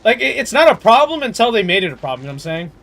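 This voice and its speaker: High-voiced young man streaming games. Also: rapid-fire, soft background music. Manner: animated, slangy, swears freely. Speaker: game streamer. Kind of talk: casual livestream chat.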